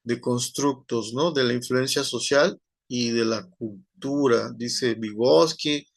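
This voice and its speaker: A man lectures to an online class at an unhurried, deliberate pace. He rambles, pausing often and leaning on fillers and tag questions. The sound is dry and close, with no room echo. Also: medium pitch, vocal fry. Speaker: teacher.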